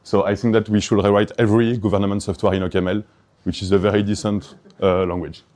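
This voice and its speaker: A man's voice: on the low side, level tone, fast, darkish tone. A man from Belgium with a Belgian French accent, giving an enthusiastic, hesitant conference talk full of fillers and restarts. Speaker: conference speaker.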